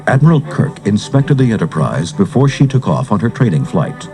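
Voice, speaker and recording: A man's voice: fairly low pitch, big pitch swings, moderate pace. A man giving dramatic scripted narration for a read-along adventure story. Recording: cassette transfer with music underneath.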